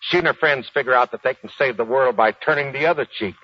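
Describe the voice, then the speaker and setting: An actor playing a gruff, proud, aging American Marine sergeant with folksy, ungrammatical slang in a 1940s radio drama. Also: male voice, medium pitch, fast, natural voice. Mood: deferential, chatty. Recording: dull 1940s radio recording.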